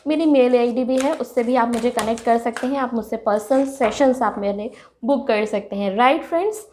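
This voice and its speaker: Woman with a sore throat, higher voice. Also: normal speed, slight background noise. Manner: chatty, excited exclamations, calls viewers friends. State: sore throat, keeps clearing her throat. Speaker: tarot reader, channel host. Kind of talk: casual tarot reading to viewers.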